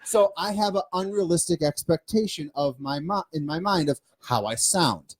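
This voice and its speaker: A man with a Midwestern American accent co-hosting a business podcast, talking in an animated, emphatic way and listing points off one by one. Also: on the high side, normal speed. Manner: animated, emphatic, lists things off. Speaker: podcast co-host. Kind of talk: casual business chat. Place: studio.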